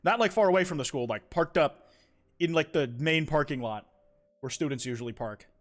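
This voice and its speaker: A man's voice: fairly high pitch, expressive, a little fast. A young man from the United States chatting casually on a live stream, reading viewer confessions aloud, rambling and swearing with many restarts.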